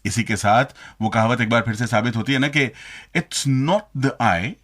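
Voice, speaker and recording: A man's voice: mid-range voice, a little slow, fairly bright tone, no echo. A man presenting a radio show, reading scripted links and information to listeners.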